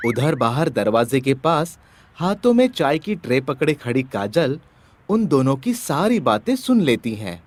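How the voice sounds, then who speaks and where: A man's voice: medium pitch, big pitch swings, a little slow, no echo. A man narrating a scripted story as the storyteller.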